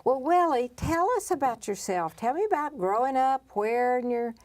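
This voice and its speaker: A woman's voice: sits high, expressive, normal speed, darkish tone. Middle-aged woman hosting a talk show. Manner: friendly, teasing. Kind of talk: interview.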